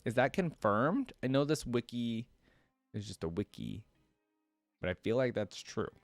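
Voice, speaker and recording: A man's voice: medium pitch, animated, unhurried, no echo, soft background music. A man hosting a casual reaction video, reading reference text aloud and breaking off for informal commentary. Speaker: YouTube reaction host.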